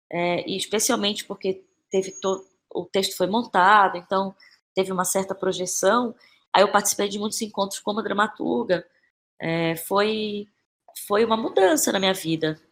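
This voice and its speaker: Reflective Brazilian woman with a halting delivery. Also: mid-range voice, fairly bright tone. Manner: reflective, halting, repeats phrases while thinking. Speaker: interview guest, playwright and actress. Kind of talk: conversational podcast interview.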